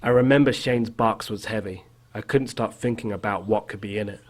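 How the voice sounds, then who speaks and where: A man playing a reflective, gently wry small-town man who narrates his own story in a video game. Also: fairly low pitch, brisk, natural voice. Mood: wry musing. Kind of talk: first-person game narration. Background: background music.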